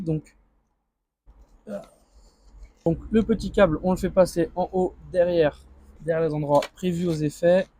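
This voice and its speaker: A man's voice: fairly high pitch, dark tone, slight background noise. A man speaking informally as a vlogger, thinking aloud through an improvised unboxing and installation commentary. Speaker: motorcycle vlogger.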